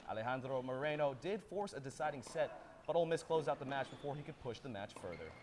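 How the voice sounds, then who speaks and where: A young man reading a sports report as a student anchor, with a steady, even delivery. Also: medium pitch, fast, a little hiss or hum. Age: young adult. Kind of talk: read news script.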